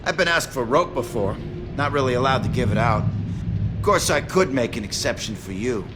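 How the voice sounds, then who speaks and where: A man's voice: medium pitch, lively intonation, a little fast, natural voice. An actor playing a cruel, taunting male asylum orderly in a video game. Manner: taunting, gruff. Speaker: game character. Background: game score music.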